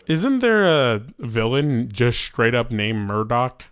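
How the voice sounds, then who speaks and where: A man's voice: medium pitch, very animated, takes it slowly, fairly bright tone, smooth, dry recording, studio quality. A man casually guessing answers in a chat, hesitant and jokey, with many fillers. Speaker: guest.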